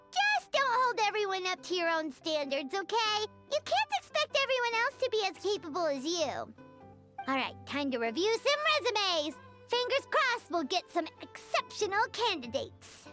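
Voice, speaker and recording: Game sidekick: little floating fairy girl, piercingly high squeaky child voice, perky, cheeky, very animated, loud music behind. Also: full character voice. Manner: perky, speaks of herself in third person. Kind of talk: video game cartoon-like dialogue acting.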